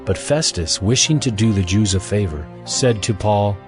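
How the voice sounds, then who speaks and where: A man's voice: on the low side, animated, moderate pace, over music. A man narrating scripture as the storyteller of a dramatized audio Bible.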